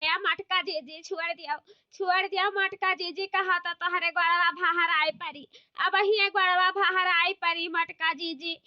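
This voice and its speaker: A woman's voice: very high voice, fairly even intonation, measured pace, no echo, highs cut off. A woman voicing a pleading, apologetic village woman in an Awadhi comedy cartoon, whining and repeating her pleas in an Awadhi accent. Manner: repetitive begging.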